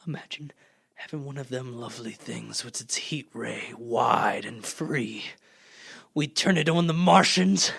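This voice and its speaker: A man playing a fierce, power-hungry artilleryman in a radio play, plotting intensely in husky, gravelly folksy speech. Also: medium pitch, dry recording, studio quality, exaggerated voice. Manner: intense scheming, folksy slang, swelling from hushed to loud.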